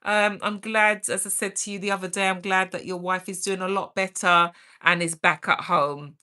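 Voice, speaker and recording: A woman's voice: fairly low pitch, fairly even intonation, moderate pace, bright, forceful tone. A middle-aged woman with a British accent, hosting a livestream and chattily reading and answering viewer comments aloud. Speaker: livestream host. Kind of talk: reading and answering live chat.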